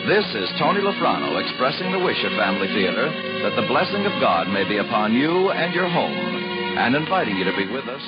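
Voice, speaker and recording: Male announcer, formal credits read, lively delivery, loud music underneath. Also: mid-range voice, brisk. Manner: formal, polished. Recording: muffled 1950 radio broadcast with loud music.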